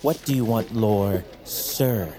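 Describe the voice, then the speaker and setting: A man's voice: lowish voice, slow pace, crowd noise. A man playing a cruel, haughty brother in a fantasy audio drama, taunting and imperious. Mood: contemptuous. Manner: mocking, imperious.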